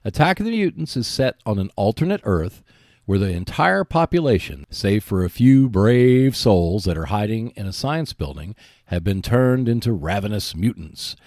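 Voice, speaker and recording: Lively low-pitched man, dry sound. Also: moderate pace, no room sound. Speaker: podcast host and narrator. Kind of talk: scripted narration.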